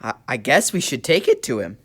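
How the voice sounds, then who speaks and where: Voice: medium pitch, sing-song, fairly quick, fairly bright tone, slightly rough. A performer playing an eager, good-hearted schoolboy in a puppet show, speaking in an earnest, boyish way. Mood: sheepish. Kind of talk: church puppet show dialogue.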